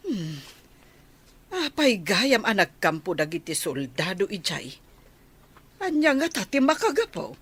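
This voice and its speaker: A woman as a slow, husky, fussy Ilocana mother. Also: mid-range voice, very animated, fairly bright tone, heavily acted. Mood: nosy, fussing. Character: nosy, scolding village mother of a grown daughter. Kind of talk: Ilocano comedy radio drama acting.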